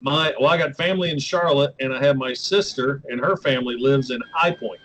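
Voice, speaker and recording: A man's voice: mid-range voice, a little fast, a bit bright, slight background noise, low-quality recording. A man from West Virginia with a West Virginia accent, hosting a casual interview in a laid-back, folksy way and laughing easily. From West Virginia, USA. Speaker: YouTube show host.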